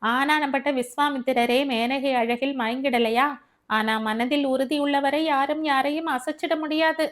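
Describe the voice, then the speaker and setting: Woman voicing an anxious young woman, noticeably high. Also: fairly even intonation, a little slow, fairly bright tone. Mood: frank, reproving. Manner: urgent, concerned, questioning. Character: anxious, caring young woman comforting and questioning her friend.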